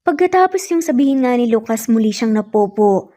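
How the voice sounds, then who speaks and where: Fairly high, mellow woman storyteller. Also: animated, fairly quick. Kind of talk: scripted romance story narration.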